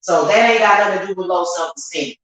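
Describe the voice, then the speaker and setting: A woman's voice: fairly low pitch, quick pace, fairly bright tone. An American woman speaking off the cuff to her viewers in a candid, emphatic, colloquial way, repeating her points. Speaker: online witch and spiritual reader. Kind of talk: unscripted vlog monologue to viewers. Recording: very echoey, reverberant room.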